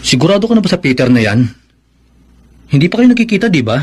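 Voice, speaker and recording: A man's voice: sits high, big pitch swings, fast talker, breathy edge, exaggerated voice. A Filipino man playing a protective, doubting older brother in a radio drama, firm and questioning. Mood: skeptical, stern.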